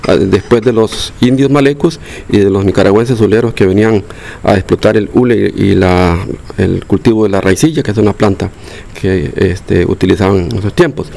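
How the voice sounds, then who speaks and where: A man's voice: fairly low pitch, normal speed, gravelly, mellow. Hesitant older Costa Rican man. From Costa Rica. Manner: hesitant, many fillers and restarts. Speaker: local resident interviewed. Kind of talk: field interview, casual. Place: outdoors in a neighborhood street.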